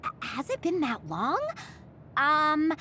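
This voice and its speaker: High-pitched, timid young girl. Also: very animated, slow pace, bright, forceful tone, slight background noise, full character voice. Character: timid, sweet young girl playing party emcee. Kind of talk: anime-style game voice acting. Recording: music behind the voice.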